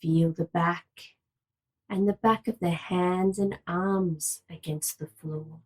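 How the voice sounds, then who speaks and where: Female yoga nidra guide: slightly low register, unhurried, very slow delivery, measured and soothing. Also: steady tone, breathy edge, poor audio. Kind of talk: guided relaxation meditation, slow instructions with long pauses.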